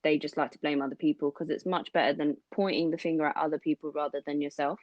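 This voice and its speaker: A woman's voice: deep voice, steady tone, quick pace, no room sound. A young woman from London co-hosting a podcast, chatting casually and agreeing often. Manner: agreeable, frequent "mm-hmm" backchannels. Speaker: podcast co-host. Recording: dull dry lo-fi sound.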